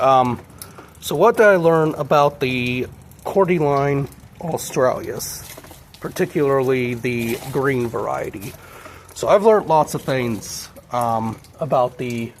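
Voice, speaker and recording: A man with a hoarse voice from a cold, chatting in an easygoing, rambling way in a casual plant vlog. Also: medium pitch, a little hiss or hum. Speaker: plant vlogger.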